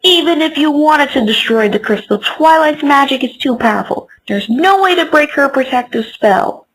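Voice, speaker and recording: A woman's voice: high-pitched, animated, normal speed, fairly bright tone, rough recording. A woman voicing an earnest, hopeful young mare who leads her friends, in a fan comic dub. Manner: earnest, rallying.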